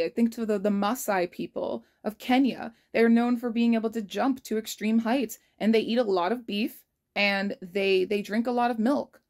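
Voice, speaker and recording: A woman's voice: mid-range voice, darkish tone. Earnest young woman vlogging from her kitchen. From United States. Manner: earnest and reflective, frank, spells out numbers carefully. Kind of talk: casual diary vlog. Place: kitchen indoors. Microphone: phone held low at arm's length.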